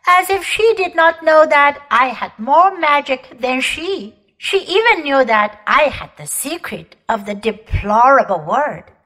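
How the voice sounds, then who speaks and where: A woman reader voices a cruel, ancient queen who speaks as though the whole world were hers. The storybook voice is high and lilting, rising and falling widely. She delivers every line slowly, as a grand, haughty declamation. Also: bright, forceful tone, heavily acted, low-quality recording. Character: proud, ruthless ancient queen, tall and imperious. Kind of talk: audiobook character reading.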